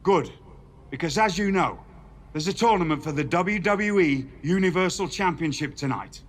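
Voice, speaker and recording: A man playing an older general manager in a game cutscene, a stern, paternal mentor with a formal, measured, admonishing manner. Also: a little high, expressive, lightly acted. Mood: encouraging, grave. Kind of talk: dramatic video game cutscene dialogue.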